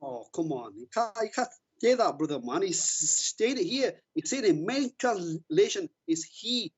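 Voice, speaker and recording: A man's voice: high voice, sing-song, a little slow, husky. A man calling into a debate, defending himself hesitantly, pleading and repeating words. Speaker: caller.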